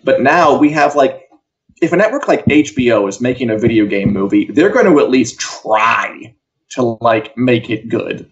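A man's voice: mid-range voice, expressive, normal speed, dull audio. A man co-hosting an entertainment chat show, talking casually and wryly with offhand asides. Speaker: co-host of an entertainment news live show. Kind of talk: casual opinionated chat about TV and games.

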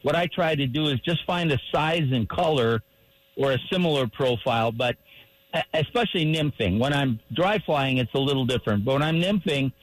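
A man hosting a radio fishing show, talking casually and asking guests long questions while a bit hoarse from losing his voice. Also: mid-range voice, lively intonation, fairly quick, no echo, rough recording. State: losing his voice, clearing throat.

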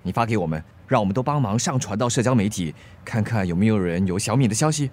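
A man's voice: lowish voice, animated, fast talker, darkish tone. A man with a Singaporean accent playing a practical, reassuring friend in a radio drama, mixing in English words. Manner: colloquial, sprinkles English words into speech. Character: level-headed, reassuring male friend, practical peacemaker.

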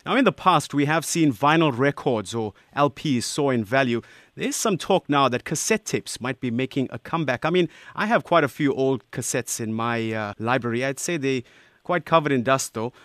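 A man's voice: medium pitch, animated, normal speed, fairly bright tone, no room sound. A man hosts a conversational radio interview, putting questions to a guest.

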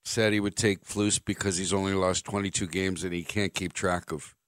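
A man's voice: deep voice, fairly even intonation, normal speed, dry recording. A middle-aged man hosting sports talk radio from a studio mic, blunt and sarcastic as he reads listener texts and gives his opinions. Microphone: studio microphone.

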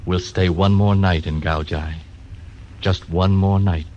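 A hard, fearless gambler. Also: male voice, deep voice, steady tone, a little slow, a little mellow. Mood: menacing. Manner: menacing, blunt. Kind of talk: 1940s radio acting. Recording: dull old radio recording, faint hiss.

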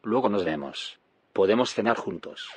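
An actor playing an idealistic, friendly leader of scientists in a naturalistic audio drama, with a husky voice. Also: male voice, fairly low pitch, expressive, normal speed, dry recording. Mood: friendly, hopeful.

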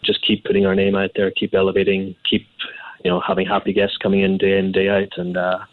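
A man's voice: low voice, completely flat delivery, fast, phone-quality sound. A Scottish man, an executive chef, answering questions conversationally in an interview. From Scotland.

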